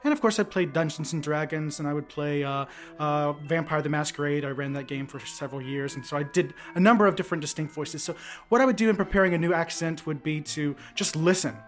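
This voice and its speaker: A man's voice: on the high side, quick pace, soft background music. A man from Oklahoma with a clipped mid-Atlantic American accent, casually explaining as a podcast cast member. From Oklahoma, USA. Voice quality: clipped enunciation.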